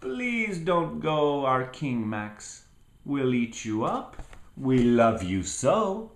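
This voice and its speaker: A band of wild, needy monsters from a children's storybook, put on by a man reading aloud. The voice leaps up high and swings widely through drawn-out, theatrical cries. It echoes in the room. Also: big pitch swings, full character voice. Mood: pleading. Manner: pleading, drawn-out cries, growls and howls.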